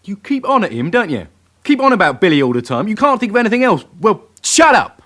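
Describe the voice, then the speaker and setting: An actor playing a defiant, cocky British crime suspect in a radio drama, curt and dismissive. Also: male voice, high-pitched, sing-song, fast, a bit bright. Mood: angry.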